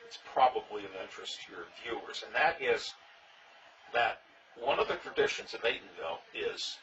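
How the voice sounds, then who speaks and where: An older man, interviewed about his early days in a reenactment society, telling stories with dry, plainspoken humor and a loud voice. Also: mid-range voice, recorded from a distance. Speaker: interviewee, former king of a historical reenactment society. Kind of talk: casual storytelling interview. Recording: dull sound, faint noise, from off mic.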